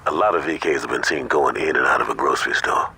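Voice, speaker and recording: Rapid-fire gruff gang contact. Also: male voice, rumbling low, heavy background noise. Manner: clipped, rough, briefing tone. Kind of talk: video game mission call.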